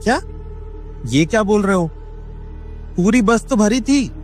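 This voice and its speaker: A man's voice: high-pitched, lively intonation, measured pace, faint music behind. A man voicing a frightened young village man in a cartoon, pleading and insistent. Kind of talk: cartoon voice acting.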